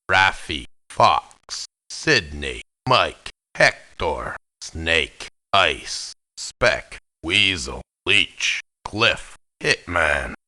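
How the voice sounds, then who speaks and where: A man playing a gruff, aggrieved, hard-bitten mercenary in a video game, talking in a blunt, emphatic, grumbling way. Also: low-pitched, bright, forceful tone, full character voice. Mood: flat roll call. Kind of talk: video game character voice acting.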